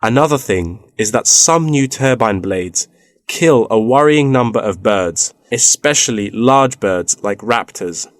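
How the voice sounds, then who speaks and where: A man playing a knowledgeable explainer in a scripted listening-test dialogue, measured and informative. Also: lowish voice, expressive, no room sound, studio quality. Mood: explanatory. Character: knowledgeable man explaining wind farm technology.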